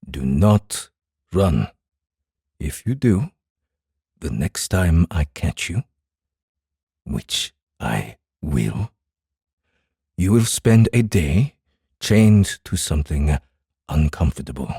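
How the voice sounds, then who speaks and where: An actor playing a gruff, short-tempered samurai in an audiobook, terse and imperious, with a growling voice. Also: male voice, very deep voice, sing-song, slow, pauses often, warm tone, no room sound, clean studio sound, exaggerated voice. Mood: menacing. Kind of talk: audiobook character acting.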